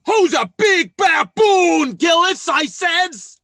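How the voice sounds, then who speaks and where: An actor playing a blustering, scheming working-class husband who stammers and boasts, in old-time radio sitcom style. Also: male voice, very high voice, very animated, slow, pushed and bright, dry recording, exaggerated voice. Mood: indignant.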